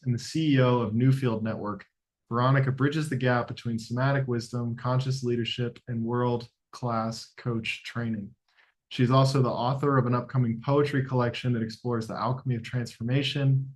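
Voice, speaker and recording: A man hosting a podcast interview, reading a guest's bio and then talking in an earnest, hesitant way with many restarts. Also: mid-range voice. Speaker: podcast host. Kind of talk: conversational interview. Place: lives in a Latin American country.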